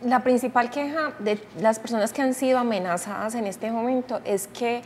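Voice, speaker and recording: A woman giving measured explanatory commentary as a programme guest. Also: fairly high pitch. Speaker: studio guest, expert. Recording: reverberant room, faint noise.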